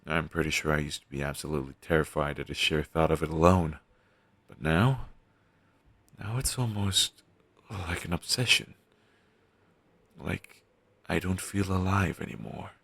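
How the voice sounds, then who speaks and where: Low, gravelly, morbid undead best friend acted by a man. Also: steady tone, a bit bright, dry recording, heavily acted. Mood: troubled, confessional. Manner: halting, sighing, sardonic delivery with trailing hesitations. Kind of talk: intimate scripted audio roleplay monologue.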